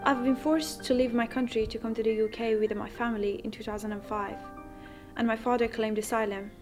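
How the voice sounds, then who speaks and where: A young woman from Iran tells her story in a steady, earnest voice pitched a little high. Music plays clearly behind her. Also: brisk. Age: young adult. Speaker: young asylum seeker telling her story. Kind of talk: personal narration.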